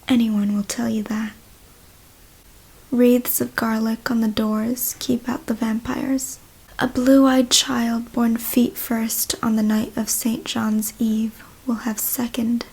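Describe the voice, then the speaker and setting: A woman reading a story as ASMR in a soft, breathy whisper, hushed and deliberate. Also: a little high, poor audio. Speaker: ASMR reader.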